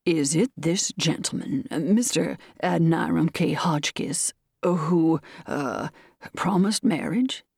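A woman voicing a flustered, courteous colonel and lawyer in a storybook reading, with halting, stammering, formal speech. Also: on the low side, creaky, darkish tone, breathy edge, no echo, clean studio sound, full character voice. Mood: uneasy, halting.

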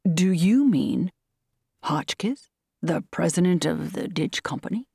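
A woman voicing a flustered, courteous colonel and lawyer in a storybook reading, with halting, stammering, formal speech. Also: on the low side, vocal fry, a little mellow, slightly rough, no echo, studio quality, full character voice. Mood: astonished.

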